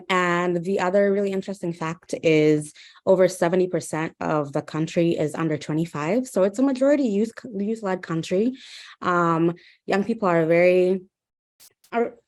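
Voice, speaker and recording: A young woman from Uganda, a guest speaker in a webinar conversation, talking thoughtfully with many ums and restarts. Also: fairly low pitch. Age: 20s.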